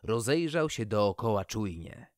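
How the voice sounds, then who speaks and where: Children's storybook narrator, unhurried and very expressive, dry close sound. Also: medium pitch, no echo.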